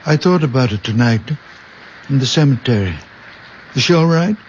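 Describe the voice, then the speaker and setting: An actor playing a very old, gentle, absent-minded father with a tender, halting manner in an old-time radio drama. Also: medium pitch, expressive, close to an everyday voice. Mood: mild, absent-minded. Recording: dull, noisy old radio broadcast.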